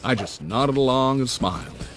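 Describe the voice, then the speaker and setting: A man's voice: medium pitch, moderate pace, close to an everyday voice. An actor playing a folksy older male adventurer who tells war stories to a youngster in a game cutscene. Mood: grave. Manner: folksy, slangy, reflective storytelling. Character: folksy older male adventurer, warm storytelling uncle type. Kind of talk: cinematic game cutscene narration. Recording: music behind the voice.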